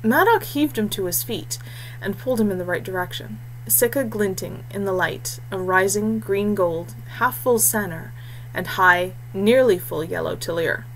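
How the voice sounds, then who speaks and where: Lady reading a fantasy audiobook, mid pitched voice, little bit of background noise. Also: normal speed, low-quality recording. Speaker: narrator. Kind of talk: scripted fantasy story narration.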